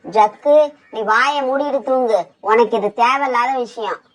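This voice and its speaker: A woman's voice: a little high, measured pace, low-quality recording. A woman voicing a bossy female bird, a wife and mother, in a cartoon, talking in a scolding, commanding way. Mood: scheming. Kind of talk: cartoon voice acting.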